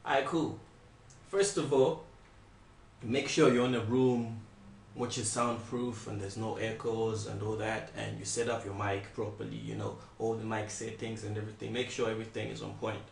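A man's voice: lowish voice, level tone, moderate pace, vocal fry, slight background noise. Young male tutorial presenter, informal and repetitive, recorded at a distance in an untreated, echoey room. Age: young adult. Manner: informal, repeats instructions. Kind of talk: casual step-by-step software tutorial. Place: small indoor room, not soundproof.

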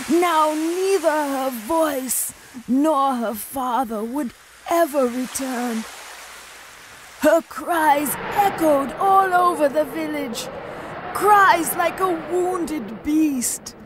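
A woman narrating a spooky folk tale in a hushed, eerie storytelling manner. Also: high voice, noisy background.

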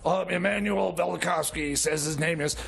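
A man's voice: a little high, gravelly, slightly rough, full character voice. A man playing a flustered, paranoid conspiracy theorist on a comedy radio show, stammering and rambling as he goes. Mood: earnest, conspiratorial. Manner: stammering, halting, rambling, excitable. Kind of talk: improvised comedy radio theater.

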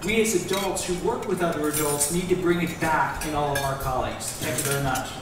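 A man's voice: on the high side, fairly quick. A middle-aged American man giving upbeat panel remarks, standing and gesturing on stage with a headset mic in a large event hall. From United States. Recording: echoey hall, distant mic, background noise.

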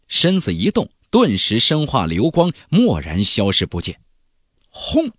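Male audiobook narrator, very animated delivery with a husky edge, faint music underneath. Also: mid-range voice, no room sound. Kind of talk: dramatic scripted narration of a fantasy novel.

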